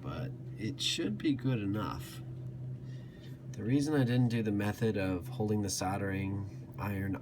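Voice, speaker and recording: A man with a fairly low voice narrates a do-it-yourself tutorial. He talks casually and plainly, going step by step through what he is doing. The homemade recording has clear noise and some clicking behind him. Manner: plainspoken, explaining each step as he works. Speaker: DIY tutorial host. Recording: clearly noisy hobby video with clicks.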